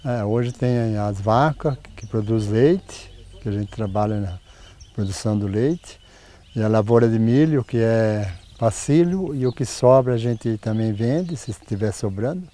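A man in his sixties, a farmer, answering interview questions outdoors in a plain, halting way. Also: fairly low pitch, dark tone. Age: 60s. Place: outdoors on a farm.

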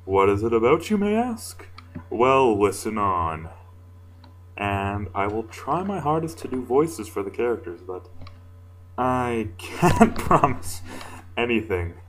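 Deep-voiced young-sounding casual male reader. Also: sing-song, slow pace. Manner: informal, self-deprecating, stumbles over lines. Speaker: fan fiction reader. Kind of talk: casual amateur story reading with asides.

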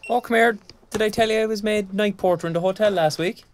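A man's voice: high-pitched, fast, soft background music, close to an everyday voice. An actor playing a chatty, put-upon, good-natured younger father in an audio drama, speaking colloquially with an Irish accent. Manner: colloquial, animated.

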